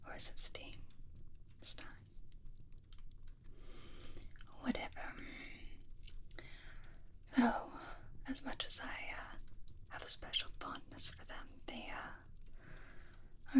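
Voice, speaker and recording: Woman playing a slow, whispering gossipy vampire. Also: mid-range voice, close to an everyday voice. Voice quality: soft breathy whisper. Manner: hesitant, confiding, gossipy. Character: flirty gossiping vampire confiding at a monster-hunter party. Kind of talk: ASMR roleplay, whispered personal attention.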